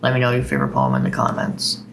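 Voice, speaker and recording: A man's voice: very deep voice, very animated, fast, creaky, music in the background. A man hosting a video of poetry readings. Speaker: video host reading poems.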